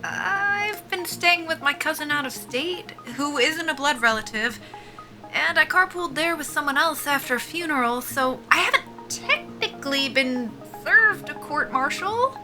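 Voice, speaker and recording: A woman's voice: high voice, lively intonation, measured pace, over music. A woman acting a nervy, foul-mouthed young soldier in a sci-fi audio drama, wry and defiant in a casual, joking way. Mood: resigned, defensive. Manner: sardonic, casual, sweary, joking under nerves.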